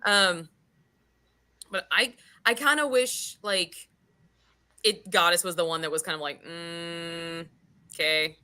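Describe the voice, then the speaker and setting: A woman's voice: on the low side, takes it slowly, long pauses, bright, forceful tone, clear, resonant tone. A woman hosting a casual book discussion, talking in a frank, informal way with some swearing. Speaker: book club host.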